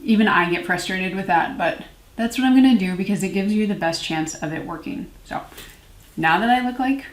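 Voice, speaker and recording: A woman's voice: mid-range voice, a little fast. A woman in her thirties chatting informally to camera in a casual product review, with self-deprecating asides. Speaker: beauty vlogger, series host. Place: small room at home, indoors. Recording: roomy indoor echo.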